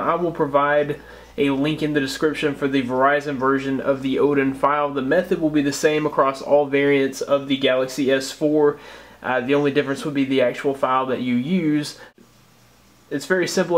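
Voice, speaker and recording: An American man narrating a casual step-by-step tutorial as a tech host, explaining things informally. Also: medium pitch.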